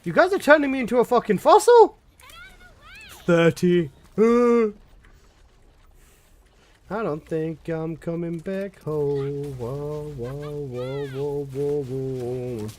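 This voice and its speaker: A man's voice: fairly high pitch, slow pace, pauses often, a little mellow. A man in his twenties, a game streamer chatting casually and jokingly with his live chat, swearing often. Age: 20s.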